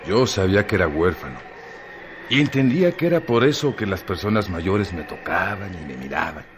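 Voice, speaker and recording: An actor playing a vain orphaned man recalling his boyhood in a halting, deliberate radio drama monologue. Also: low-pitched, animated, noisy background. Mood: musing, self-admiring.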